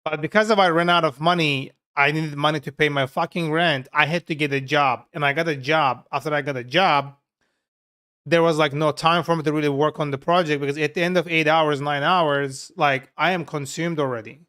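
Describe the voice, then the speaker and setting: A man's voice: fairly high pitch, fairly even intonation, brisk, pushed and bright, clear, resonant tone. Turkish-accented man coding live, swearing. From Turkey. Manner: frank and profane, talks to chat while coding. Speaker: software developer streaming live coding. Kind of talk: casual live coding stream chat.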